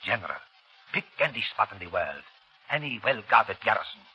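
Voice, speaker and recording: An actor playing a cold, scheming doctor in an insinuating, theatrical 1930s radio style. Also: male voice, lowish voice, big pitch swings, a little fast, sharp tone, a little hiss or hum, heavily acted. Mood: coldly persuasive. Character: sinister foreign doctor, cold scheming germ-warfare villain. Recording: dull vintage 1930s radio recording, faint music.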